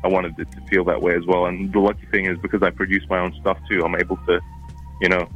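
A man's voice: low-pitched, little pitch movement, fast talker, fairly bright tone, highs cut off, faint music behind. A man with an accent mixing Arabic and New Zealand English, a musician talking casually in an interview, rambling with many fillers and restarts. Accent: mix of Arabic and New Zealand. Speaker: musician guest.

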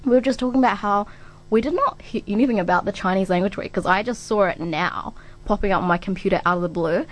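A young woman hosts a laid-back radio chat with a friend. She talks at an easy, ordinary pitch and pads her sentences with fillers. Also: fast talker, low-quality recording. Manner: relaxed, filler-heavy, laughs often. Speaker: radio host. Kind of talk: casual chat.

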